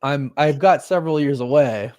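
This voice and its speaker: A man's voice: on the high side, moderate pace, a little mellow. A man in his thirties chatting casually as he hosts a watch party. He talks in a loose, running way, often laughing and making fun of himself. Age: 30s. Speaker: host.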